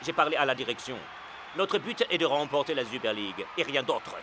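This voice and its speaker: An actor playing a proud, principled team manager in a cartoon, speaking formally and articulately. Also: male voice, mid-range voice, animated, a little fast, noisy background, lightly acted. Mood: firm, reproachful. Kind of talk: animated cartoon dubbing.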